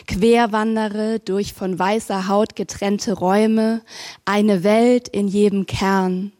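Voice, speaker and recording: A woman poet introducing herself and reading her poem aloud in a slow, measured, gently hesitant way at a live reading. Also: mid-range voice. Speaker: poet and facilitator.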